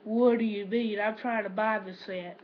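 A teenage boy makes a prank call in a fairly high voice. He is halting and repetitive, acting confused. The webcam sound is dull, with faint noise. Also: fairly even intonation. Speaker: prank caller. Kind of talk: prank phone call, casual improvised talk. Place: small room at home, indoors. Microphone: webcam or laptop microphone. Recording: dull, noisy webcam microphone.

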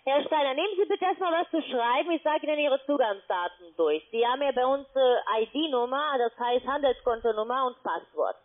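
A woman phone scammer posing as an advisor, speaking halting German and repeating the customer's name. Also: sits high, lively intonation. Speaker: scam call-centre advisor. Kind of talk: phone sales pitch. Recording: tinny phone line.

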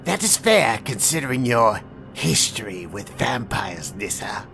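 An actor playing a calm, courteous male vampire with a thin, dry voice, speaking slowly and with polite menace in a fan audio drama. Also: on the low side, vocal fry, soft background music, full character voice. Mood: measured. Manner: slow, measured and politely menacing.

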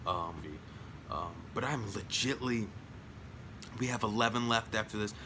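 A man in his thirties chatting casually at home about his film collection, rambling with lots of fillers and jokes, his voice going hoarse. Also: lowish voice, a little slow, noisy background. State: losing his voice. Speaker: collection vlogger. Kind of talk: casual home video chat. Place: small room at home, indoors. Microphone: phone or webcam at arm's length.

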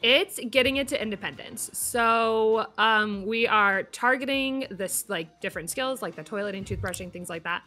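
A woman's voice: mid-range voice, normal speed, few pauses, pushed and bright, soft background music. A woman in a casual improvised role-play as a behavior analyst, phrasing things hesitantly.

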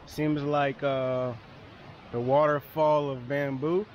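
A man's voice: mid-range voice, level tone, takes it slowly. A young man talking casually to camera outdoors in a colloquial, easygoing way, as a travel vlogger. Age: young adult. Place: outdoors by a roadside and river waterfall. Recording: outdoor camera audio with ambient noise.

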